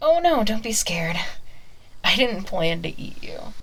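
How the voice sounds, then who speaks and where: A woman's voice: medium pitch, big pitch swings, a little slow, gravelly, breathy edge, heavily acted, low-quality recording. A woman voicing a friendly, protective young half-human werewolf in a conversational ASMR roleplay, a little defensive in manner. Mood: reassuring, explaining. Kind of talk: ASMR roleplay, acted monologue to the listener.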